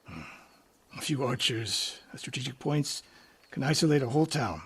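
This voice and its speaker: An actor playing a stern, world-weary older samurai archery master in a video game, gruff, terse and authoritative. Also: male voice, mid-range voice, expressive, normal speed. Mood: measured, tactical. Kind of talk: dramatic video game acting. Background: music.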